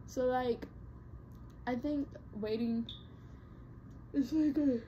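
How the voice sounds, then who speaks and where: A woman's voice: sits high, fairly even intonation, takes it slowly, dark tone, muffled recording, slight background noise. A young woman vlogger chatting casually to her viewers, rambling with lots of fillers and restarts. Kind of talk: casual talk to camera.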